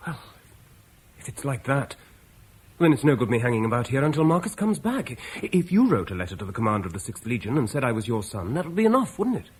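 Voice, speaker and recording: A man's voice: medium pitch, sing-song, fast, slight background noise, close to an everyday voice, rough recording. A man playing an eager young Roman-British son. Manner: eager, pleading speech. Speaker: actor in radio drama. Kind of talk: 1950s British radio play acting.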